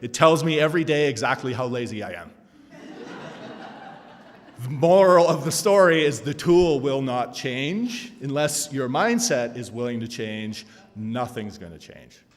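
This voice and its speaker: A man in his forties giving a conference panel talk, telling a self-deprecating story with an animated delivery. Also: medium pitch, unhurried. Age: 40s. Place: conference hall. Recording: long hall reverb.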